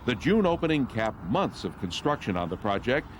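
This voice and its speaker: A man's voice: mid-range voice, sing-song, moderate pace, a little hiss or hum. A man reading scripted newsreel narration as the narrator. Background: music.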